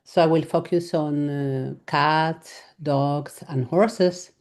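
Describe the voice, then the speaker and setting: Spanish lady vet giving a talk in english, low warm voice, lots of uhs and restarts. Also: fairly even intonation, takes it slowly. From Spain. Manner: hesitant, many fillers and restarts, laughs lightly. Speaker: veterinarian giving a lecture. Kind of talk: live lecture.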